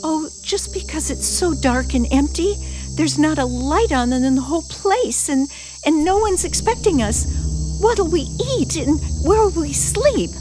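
An actress playing a naive, romantic, anxious newlywed bride in an old-time suspense radio drama, with gushing, halting speech. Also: female voice, sits high, expressive, background noise. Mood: nervous, pleading.

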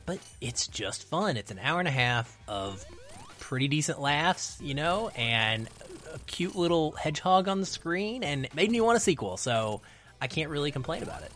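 A man's voice: fairly high pitch, big pitch swings, moderate pace, a bit bright. A man speaking as a podcast guest, giving a casual film opinion and repeating phrases for stress. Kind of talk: casual film review chat.

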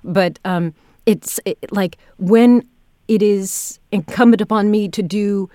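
A woman hosting a conversational interview, speaking in a thoughtful, halting way as she works out her phrasing. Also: medium pitch, steady tone, dark tone, dry recording, clean studio sound. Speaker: podcast host, audio drama director and audiobook narrator.

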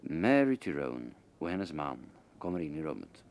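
A male narrator with a very low voice reads stage directions. His scripted delivery is measured and formal, in the manner of 1950s radio theatre. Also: big pitch swings, a little mellow.

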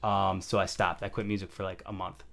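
A man's voice: deep voice, little pitch movement, fairly quick, gravelly, a bit bright. A young man, a rapper guest, talking in a loose, rambling way full of fillers. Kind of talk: casual conversation.

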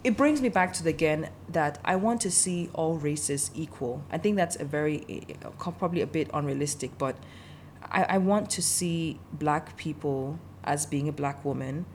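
Woman, low voice, grew up in Denmark, emphatic, passionate, casual talk, slight noise. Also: moderate pace, a little mellow. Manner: passionate, emphatic, repeats herself. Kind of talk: casual conversation.

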